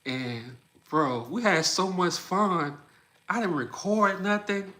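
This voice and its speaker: A man in his mid-thirties hosts a livestream, talking in a loose, energetic, chatty way. His voice sits a little higher than most men's. He keeps calling his listeners "bro.". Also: takes it slowly. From Louisiana. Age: 30s. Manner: energetic, loose and chatty, often says "bro", laughs between thoughts. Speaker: livestream host. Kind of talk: casual livestream chat, reacting to comments.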